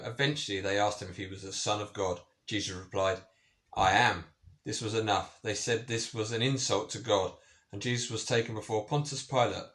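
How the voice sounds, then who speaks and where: A man reading a children's Bible story aloud as scripted narration in a measured manner. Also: fairly low pitch, fairly bright tone. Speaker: story reader.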